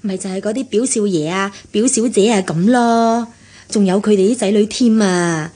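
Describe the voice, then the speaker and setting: An actress playing a chatty, fussy household servant with Hong Kong Cantonese speech in a radio drama. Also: female voice, mid-range voice, moderate pace, dark tone, lightly acted. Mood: fussy, complaining.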